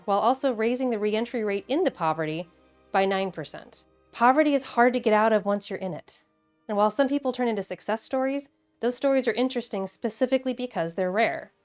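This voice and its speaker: A woman with an American accent narrates a scripted video essay in a wry, conversational, emphatic way with casual asides. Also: mid-range voice. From Midwestern United States.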